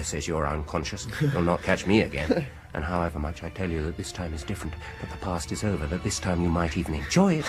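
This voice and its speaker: Coaxing dentist, British, low voice, swooping intonation, theatrical, slipping into near-whispers, horror radio play. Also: male voice, gravelly. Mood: intense, insistent. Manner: persuasive, deliberate, theatrical, dropping into near-whispers. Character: smooth-talking, insistent male dentist coaxing a frightened patient. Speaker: actor in a radio drama. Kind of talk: radio horror drama acting. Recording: radio drama with music behind.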